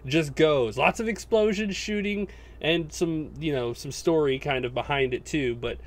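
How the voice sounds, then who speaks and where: Guy with a kinda high voice, casual podcast chat with friends. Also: normal speed. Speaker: podcast co-host. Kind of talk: casual chat about games and films.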